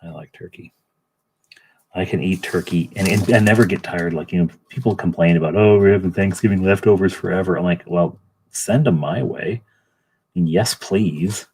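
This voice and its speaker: A man hosting a livestream, chatting casually and muttering quiet asides to himself as he paints. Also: deep voice, normal speed, a little hiss or hum, poor audio. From Canada. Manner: soft, muttering to himself, drifting between quiet asides and chat. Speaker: livestream host painting miniatures. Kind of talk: casual chat while painting.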